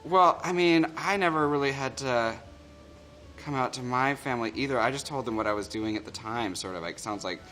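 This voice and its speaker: A man, a drag queen makeup artist, chatting in a campy, animated way with big pitch swings on his exclamations as he hosts a makeover. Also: a little fast, faint music behind. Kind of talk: casual chat, playful. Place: makeup studio.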